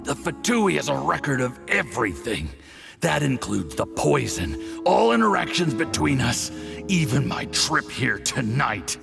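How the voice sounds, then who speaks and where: A poisoned diplomat in a game, very gravelly and slow, his pitch swinging wildly between snarls and weak gasps. Music sits clearly beneath the lines. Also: very animated, heavily acted. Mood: defiant. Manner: furious outbursts, slow and strained. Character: poisoned male foreign diplomat, angry and desperate. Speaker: game character.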